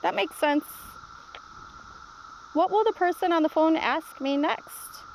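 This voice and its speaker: A woman's voice: very high-pitched, slow, gravelly, a little hiss or hum, heavily acted, low-quality recording. A woman voicing an anxious, questioning young child in a children's storybook read-aloud. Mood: worried, curious. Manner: questioning, worried. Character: anxious, inquisitive young grandchild starting kindergarten.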